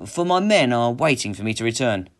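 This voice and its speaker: Man as determined Norse hero, lifted pitch, bold, declamatory, storybook adventure. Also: sing-song, a little fast, close to an everyday voice. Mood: resolute. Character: bold, determined young Viking hero seeking answers.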